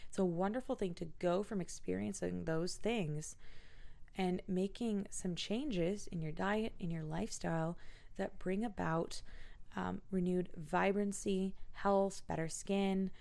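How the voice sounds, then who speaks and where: A woman in her twenties hosts a solo podcast from home, low in pitch with a gravelly edge to her voice. She is stuffy from a cold and thinks aloud, searching for words with frequent ums and pauses. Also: fairly even intonation, darkish tone. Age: 20s. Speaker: podcast host. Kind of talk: casual solo podcast monologue.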